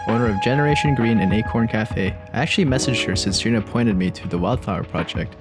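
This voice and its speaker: A man as podcast host reading a calm, friendly scripted introduction. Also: on the low side, fast talker. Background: music bed.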